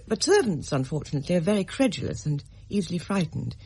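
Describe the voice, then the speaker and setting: A woman's voice: fairly low pitch, very animated, fast talker, warm tone, husky, heavily acted. An actress playing a composed, shrewd woman looking for a house, cool and insistent in her questions in a radio drama. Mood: probing.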